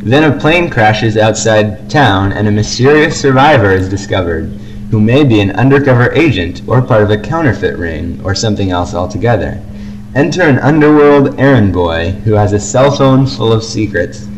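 A man's voice: fairly low pitch, expressive, normal speed, a little hiss or hum, low-quality recording. A young man chatting casually as co-host of a fan podcast, on an amateur home recording.

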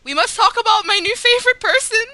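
A woman's voice: very high-pitched, expressive, fast talker, pushed and bright. A woman co-hosting a fan podcast, chatting casually with playful, exclamatory enthusiasm, other voices behind her. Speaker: podcast host.

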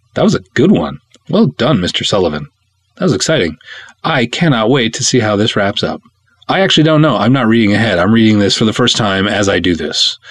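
A man's voice: mid-range voice, no echo. An American man from Minnesota with a playful, theatrical manner, reading and hosting expressively as a podcast host. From Minnesota, United States.

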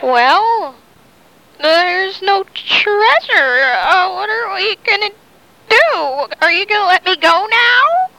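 A woman's voice: very high-pitched, sing-song, takes it slowly, sharp tone, no echo, pitched above the performer's own voice, full character voice, rough recording. A woman voicing a timid, naive child held by pirates in a story, hesitant and pleading. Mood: nervous.

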